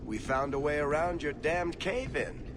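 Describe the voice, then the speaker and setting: An actor playing a wry, roguish dwarf adventurer in a fantasy video game, talking in an unhurried way. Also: male voice, a little high, expressive, very noisy, lightly acted. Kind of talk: dramatic video game dialogue.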